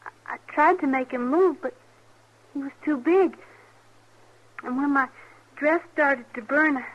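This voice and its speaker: A woman's voice: sits high, unhurried. A woman playing an inquisitive, plain-spoken little frontier girl in an old-time radio western, with a persistent, childlike manner. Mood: matter-of-fact. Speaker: radio drama actor. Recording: muffled old radio recording.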